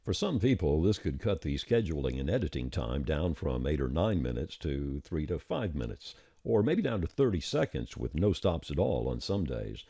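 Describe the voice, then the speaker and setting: A man with an extremely low, rumbling voice presents a software tutorial. His delivery is mellow yet lively in intonation, with folksy, informal turns of phrase. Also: moderate pace, hardly any pauses, husky, no room sound, clean studio sound. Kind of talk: scripted software tutorial narration.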